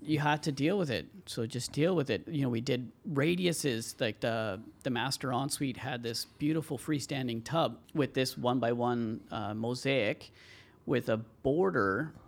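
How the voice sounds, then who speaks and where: Male tradesman guest, gravelly, rambling storyteller, mid pitch. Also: unhurried. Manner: rambling, many fillers and "you know". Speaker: tile contractor, podcast guest. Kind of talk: casual interview storytelling.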